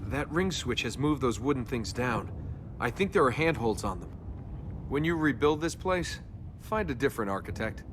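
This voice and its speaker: A man's voice: mid-range voice, lively intonation, normal speed, noisy background, natural voice. An actor playing a cocky, wisecracking young male adventurer in a video game, glib and sarcastic in his banter. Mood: wry, casual. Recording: constant game ambience behind the voice.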